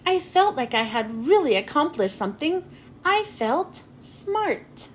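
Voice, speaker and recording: A woman narrating a children's storybook expressively, with dramatic pauses and drawn-out phrase endings. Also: sits high, big pitch swings. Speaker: children's book read-aloud host. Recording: noticeable background noise.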